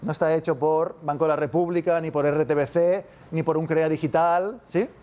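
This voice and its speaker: A man's voice: fairly high pitch, fairly even intonation, moderate pace, darkish tone. A man from Spain with a Spanish accent giving a casual university masterclass, constantly checking in with his audience. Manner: casual, keeps checking with "¿vale?". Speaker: university lecturer. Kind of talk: masterclass lecture.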